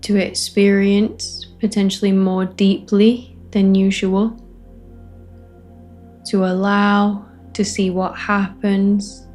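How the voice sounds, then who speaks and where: Very slow-speaking woman leading meditation. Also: medium pitch, monotone, faint music behind. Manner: gentle invitations with long pauses between short phrases. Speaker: meditation facilitator. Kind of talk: guided meditation, spoken softly to listeners.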